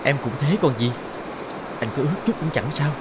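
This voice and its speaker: A woman voicing a gentle, poor young man who speaks softly and tenderly to his girlfriend. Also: deep voice, a little fast, background noise, voice pushed down, lightly acted. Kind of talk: animated story voice acting.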